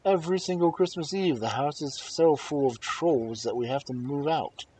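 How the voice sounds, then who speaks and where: A man's voice: a little high, moderate pace, darkish tone, distant mic, a little hiss or hum, voice pushed up, lightly acted, poor audio. A man voicing a plainspoken country householder in a folktale, speaking up emphatically. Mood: worried. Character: Halvor, plainspoken country householder facing trolls.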